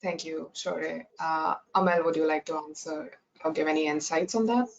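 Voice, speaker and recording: A woman's voice: sits low, fairly even intonation, normal speed, gravelly. A woman moderating a webinar panel, asking prepared questions politely. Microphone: video call. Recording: muffled online audio.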